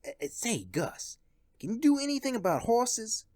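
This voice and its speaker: A woman's voice: fairly high pitch, very animated, moderate pace, darkish tone. A woman playing a greedy, falsely chummy male gambler in a radio play, stammering and wheedling. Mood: sheepish, wheedling.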